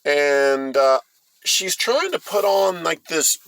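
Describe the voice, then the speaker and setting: A man's voice: on the high side, very animated, slow pace, fairly bright tone, clean tone, no echo, rough recording. Middle-aged American man, close dry sound. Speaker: host of a storytime vlog. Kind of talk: casual rambling storytelling.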